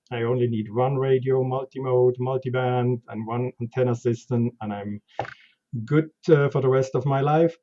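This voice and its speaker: A man's voice: medium pitch, warm tone, muffled recording. A man from Switzerland with a Swiss German accent, a guest chatting casually about his background in an interview. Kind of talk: conversational interview.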